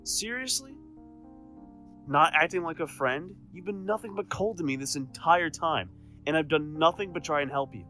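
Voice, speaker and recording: A man playing a proud, defiant supervillain with a soft side in an audio drama, speaking emphatically and earnestly. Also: on the high side, moderate pace, soft background music. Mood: indignant, hurt.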